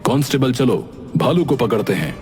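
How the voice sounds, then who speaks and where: Man playing cartoon police inspector, mid-range pitch, brisk, official, faint music. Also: animated, lightly acted. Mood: businesslike. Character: matter-of-fact village police inspector giving orders. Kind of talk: Hindi cartoon voice acting.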